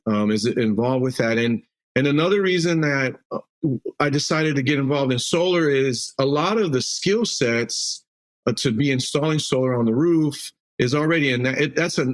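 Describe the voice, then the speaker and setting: A man's voice: mid-range voice, normal speed. A man from Mexico, a roofing company CEO guest, talking loosely with frequent fillers and restarts. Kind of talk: casual interview answers.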